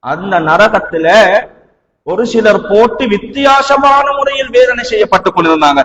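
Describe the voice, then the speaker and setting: High-pitched Sri Lankan Tamil male preacher, echoing hall. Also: expressive, normal speed, a bit bright. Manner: emphatic, dramatic, with rhetorical questions and repeated phrases. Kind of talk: sermon with storytelling. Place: large mosque hall. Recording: reverberant hall.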